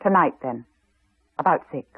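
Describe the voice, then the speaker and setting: An actress playing a reserved, candid middle-aged Englishwoman, polite and measured, in a 1980s radio drama. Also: deep voice, lively intonation, husky, no echo. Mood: polite, guarded. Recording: slightly dull, dry old radio recording.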